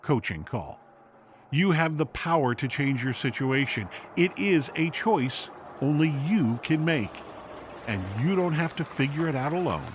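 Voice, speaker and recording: Man reading podcast narration, lively intonation. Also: a little high, measured pace, a little hiss or hum. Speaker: podcast presenter.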